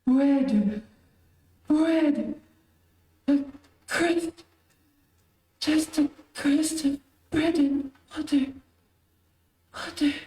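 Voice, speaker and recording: Radio drama castaway female actor: dying convict, bitter and pleading, slow mellow delivery, roomy distant sound. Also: sits high. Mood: desperate pleading. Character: dying, parched male convict navigator, bitter and vengeful. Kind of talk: old-time radio mystery acting. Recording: roomy, distant amateur stream audio.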